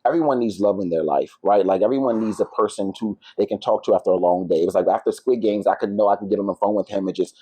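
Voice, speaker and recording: A man in his thirties with a fairly low voice, recorded dry and close. He talks casually and openly about himself as an interview guest. His delivery is reflective, dotted with small check-ins to the listener. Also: fast talker, hardly any pauses, mellow, slightly rough, no room sound. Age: 30s. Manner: reflective, open, peppers talk with "like", "you know" and "right?". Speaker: podcast guest. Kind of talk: candid, casual personal conversation.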